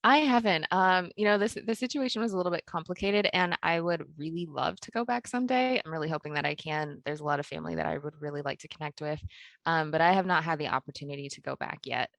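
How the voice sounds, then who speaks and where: A woman from Pennsylvania, a podcast guest, explaining her work in a measured way with soft laughs. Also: low voice, dry recording. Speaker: podcast guest, food project founder. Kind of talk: interview conversation.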